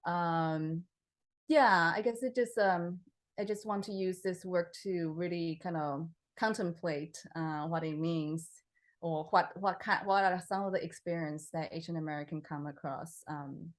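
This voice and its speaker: A young woman from Taiwan, a composer answering interview questions in a relaxed, hesitant way, with many fillers, restarts and frequent laughter. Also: on the low side, a little slow.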